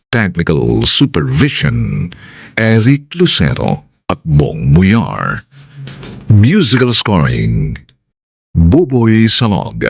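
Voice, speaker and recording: A man with a Filipino accent and a gravelly, husky voice, giving solemn scripted narration for a radio drama. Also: on the low side, dry recording. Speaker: narrator and co-director.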